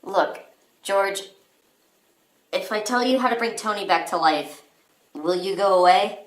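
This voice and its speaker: A woman's voice: medium pitch, a little slow, lightly acted. A woman acting in a comedy skit as a stubborn, teasing young woman with a dry, unimpressed manner, recorded in a roomy space. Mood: wearily amused. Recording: roomy, echoing indoor sound.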